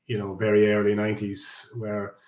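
A man with an Irish accent, in his forties, talks through his working life in a loose, rambling way, full of ums and restarts. His voice sits low and stays flat, with little rise or fall. The recording has a noticeable roomy echo around him. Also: normal speed. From Ireland. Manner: chatty, rambling, with many fillers and restarts. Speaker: guest, construction business director. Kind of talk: casual podcast interview. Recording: echoey room.

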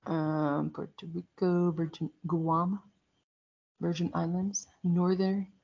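A woman co-hosting a casual chat, talking in a rambling, lively way about her week as a church school teacher. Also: on the low side, takes it slowly. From outside the United States. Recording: dull lo-fi sound.

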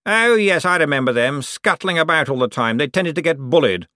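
A man voicing a vain, scheming rich young man who talks in a smooth, self-satisfied, dreamy way. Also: on the high side, lively intonation, brisk, bright, forceful tone, no room sound, studio quality, pitched above the performer's own voice.

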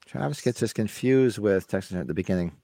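A man's voice: fairly low pitch, brisk, creaky, no echo. A man co-hosting a casual horror podcast, joking and reading chat comments aloud, his voice strained and half gone. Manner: lively, joking, reads viewer comments aloud. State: losing his voice. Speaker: podcast co-host.

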